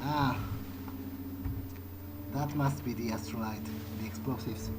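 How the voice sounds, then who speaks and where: A man thinks aloud as his vampire game character. His voice sits at an average pitch, and he talks slowly and haltingly, as if musing to himself. Clear background noise runs under the recording. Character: male vampire player character, reflective, morally uneasy. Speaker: gameplay video host speaking in character.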